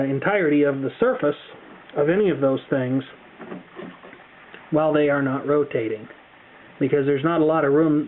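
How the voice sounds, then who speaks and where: An American man presenting a casual how-to demonstration, explaining things in a chatty, careful way. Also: a little high. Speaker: tutorial presenter. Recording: thin, phone-like band-limited sound.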